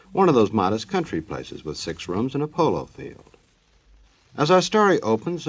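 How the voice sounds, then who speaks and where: A man's voice: fairly low pitch, husky. A man narrating a scripted old-time radio show as announcer, in a folksy, tongue-in-cheek manner.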